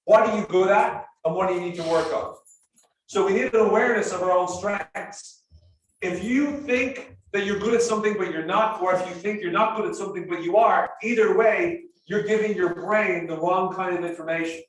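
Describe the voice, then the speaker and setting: A man with an Irish accent giving a sales training talk to a live group. He explains things step by step with energy, and his voice drops softly at the ends of phrases. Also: high voice, moderate pace, recorded from a distance, slight background noise. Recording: roomy echo, speaker off-mic.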